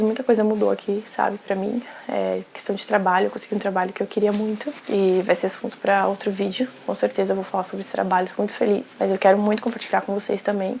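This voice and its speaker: A young woman from Brazil chatting casually in a vlog, slangy and talkative, often tagging her sentences with quick questions. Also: mid-range voice, creaky, warm tone, slight background noise. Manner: chatty, slangy, frequent "sabe?" tags. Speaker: vlogger.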